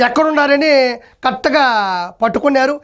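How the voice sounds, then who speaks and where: A man hosting comic political commentary, telling it in a mocking, animated storytelling way. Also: extremely high pitch, fairly quick, over loud music. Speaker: host of a political troll video.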